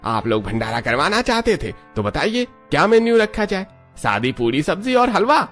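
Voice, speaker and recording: A man voicing men in a cartoon story, with lively, expressive delivery. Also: high voice, normal speed, soft background music. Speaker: voice actor voicing several men. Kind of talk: cartoon dialogue.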